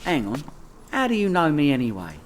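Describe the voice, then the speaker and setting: Man acting a cocky, hapless London crook, animated. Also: fairly high pitch, a little fast, a little hiss or hum. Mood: indignant. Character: hapless, boastful petty crook, cocky and indignant. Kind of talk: comedy radio play acting.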